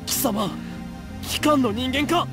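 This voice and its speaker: A man's voice: extremely high pitch, normal speed. An actor playing a dramatic, paranoid young male mad scientist in a Japanese visual novel. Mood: alarmed, suspicious. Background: loud game music.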